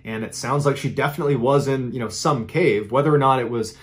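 A man in his thirties, the show's host, telling a true story to camera from a studio in an animated, conversational way. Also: mid-range voice, fast. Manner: animated, conversational retelling with dramatic emphasis. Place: indoor studio with a green-screen backdrop.

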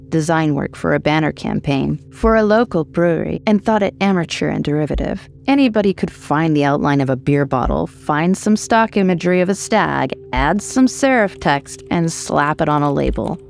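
A woman's voice: deep voice, animated, normal speed, creaky, darkish tone. A woman narrating scripted horror fiction in a dramatic, wry storytelling manner. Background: faint music.

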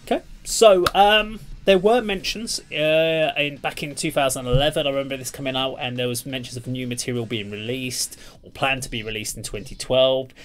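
Male interviewer with a mid-pitched voice. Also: steady tone, normal speed, hardly any pauses. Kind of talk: casual music interview. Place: indoors in a room, on a video call.

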